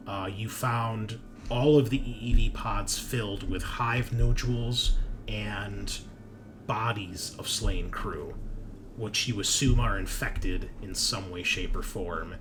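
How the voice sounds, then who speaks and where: A man narrating a tabletop roleplaying game as game master, in a conversational, halting way with emphatic swells. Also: fairly low pitch. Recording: clear background noise.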